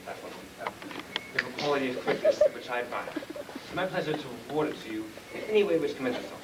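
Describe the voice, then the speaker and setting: A man's voice: fairly high pitch, expressive, quick pace, close to an everyday voice. A man playing an impatient, regal, blustering king in an amateur stage comedy. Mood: lordly. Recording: distant, echoey, noisy stage recording.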